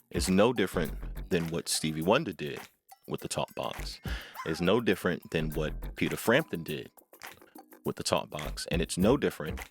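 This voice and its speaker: A man with a fairly low, very lively voice gives informal instruction as a tutorial host. He phrases things deliberately and with emphasis, often tagging on short rhetorical questions. Music plays behind his voice. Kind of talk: informal instructional talk to camera.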